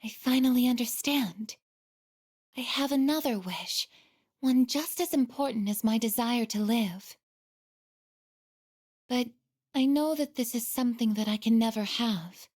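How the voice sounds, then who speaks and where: A woman playing a gentle, earnest android girl in a video game, speaking politely and formally with soft introspection. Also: fairly high pitch. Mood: resigned.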